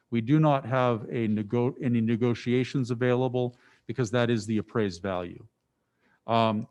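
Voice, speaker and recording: A man's voice: lowish voice, a little mellow. A man who is a village official, explaining a property purchase to the council in a plain, explanatory way. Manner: plain, explanatory, with small restarts. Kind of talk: informal briefing at a meeting. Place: council chamber.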